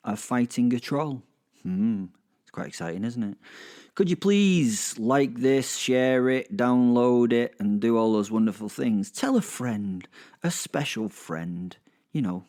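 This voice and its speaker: A man's voice: medium pitch, darkish tone. A man hosting a podcast introduction in a playful, rambling, informal way, often interrupting himself. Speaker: podcast host.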